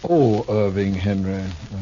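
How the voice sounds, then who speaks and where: A man's voice: low-pitched, unhurried, dark tone. A man playing a pompous, theatrical travelling Shakespearean actor in a 1950s radio western, with florid, formal diction. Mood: groggy, haughty. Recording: muffled old radio recording, faint noise.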